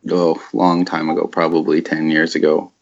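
Gravelly, very low-voiced man. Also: little pitch movement, normal speed, highs cut off. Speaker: band guitarist and vocalist. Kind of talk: casual interview chat.

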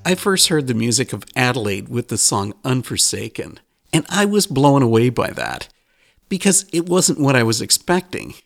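Gravelly, lively-voiced male interviewer. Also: mid-range voice, normal speed. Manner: frank, courteous questioning. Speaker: podcast host. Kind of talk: interview questions.

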